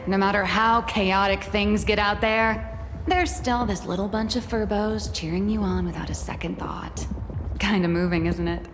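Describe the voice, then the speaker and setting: Actress as a playful reporter, long reverb. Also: female voice, mid-range voice, steady tone, normal speed, background noise, lightly acted. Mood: playful encouragement. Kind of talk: game voice acting.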